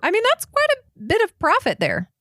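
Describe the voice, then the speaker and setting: A woman's voice: extremely high pitch, huge pitch swings, moderate pace, a bit bright, dry recording, clean studio sound. A woman co-hosting a podcast, chatting casually in an easygoing, giggly way. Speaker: podcast co-host. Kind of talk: casual chat.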